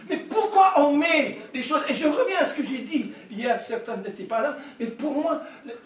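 Older male preacher, humorous asides, very high voice, distant roomy hall sound. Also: normal speed. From Scotland. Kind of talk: sermon. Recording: echoey hall, distant mic.

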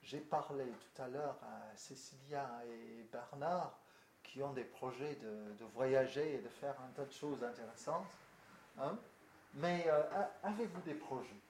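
A man's voice: medium pitch, measured pace, darkish tone. An older man from Britain with a British accent, a pastor speaking casually to a group in French, hesitant with many fillers and restarts. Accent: British English. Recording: echoey room with faint noise.

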